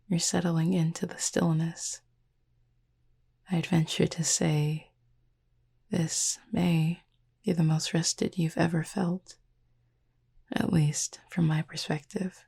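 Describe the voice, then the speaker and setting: A woman voicing a calm, proud but caring cupid roommate in a whispered sleep-aid roleplay, speaking in a breathy, husky half-whisper with measured, formal, gently teasing phrasing. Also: on the low side, full character voice.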